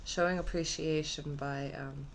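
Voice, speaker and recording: A woman with a low, slightly husky and nasal voice chats to her camera at home. She rambles from one thought to the next and often leaves sentences unfinished, with a faint hiss in the background. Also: steady tone, unhurried, low-quality recording. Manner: rambling, trailing off mid-thought. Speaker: vlogger. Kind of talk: casual rambling vlog. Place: her own apartment.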